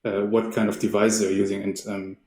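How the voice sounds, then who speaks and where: A man presenting in a webinar as a company representative, speaking in a halting, hesitant way. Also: fairly low pitch, level tone, warm tone, a little hiss or hum. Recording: roomy, echoey sound.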